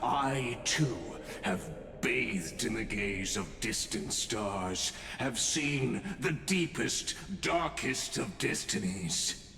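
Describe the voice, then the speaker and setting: An actor playing an enigmatic, quietly amused observer in a video game, speaking in grandiloquent, archaic, measured phrases. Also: male voice, mid-range voice, lively intonation, full character voice. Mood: solemn, grandiose. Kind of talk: scripted video game voice acting. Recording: echoey, with loud music behind. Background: game music.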